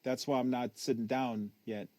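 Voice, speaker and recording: A man's voice: mid-range voice, steady tone, normal speed, dark tone, no echo, lightly acted. A man reads as a precocious young boy in a literary audiobook. He is wary and literal, stumbling over some words and exact to the point of pedantry. The sound is close and dry. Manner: hesitant, stammering, pedantically exact. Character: precocious, wary, literal-minded ten-year-old tennis prodigy boy.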